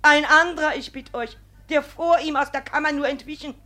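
Casting: outraged middle-aged plaintiff mother, bright and fairly high, emphatic stage-classic testimony. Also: steady tone, fairly quick. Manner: emphatic, accusing. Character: indignant, forceful village mother, combative plaintiff. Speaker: actor as plaintiff. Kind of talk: classic German radio play, dramatic testimony.

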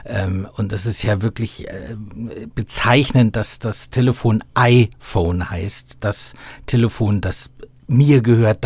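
Man as interview guest, deep-ish raspy voice, standard high german, reflective and halting, dry close studio sound. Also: a little mellow, no echo. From Bavaria, southern Germany. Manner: thoughtful, halting phrasing. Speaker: guest, theatre festival director.

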